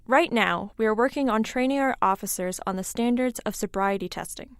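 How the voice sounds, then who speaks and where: A woman's voice: a little high, a little fast, clear, resonant tone, no room sound, clean studio sound. A woman reading scripted local news as a narrator. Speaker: news reader.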